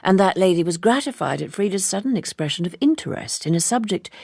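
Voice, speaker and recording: A woman reading a literary novel as scripted narration, in a measured and precise manner. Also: fairly low pitch, animated, gravelly, darkish tone, slightly rough, no echo, studio quality. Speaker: audiobook narrator.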